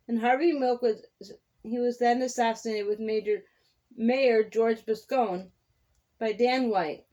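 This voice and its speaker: A woman's voice: a little high, a little mellow, low-quality recording. A woman hosting storytime for children, narrating in a theatrical, sing-song way and stumbling now and then as she corrects herself.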